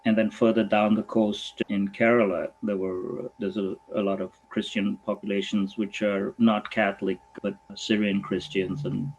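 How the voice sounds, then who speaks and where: A man in his sixties, a veterinarian originally from Goa, speaking in a thoughtful, halting way and laughing easily. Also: lowish voice, level tone, darkish tone, highs cut off, slight background noise. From Goa, India. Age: 60s. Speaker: veterinarian guest. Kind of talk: reflective conversation.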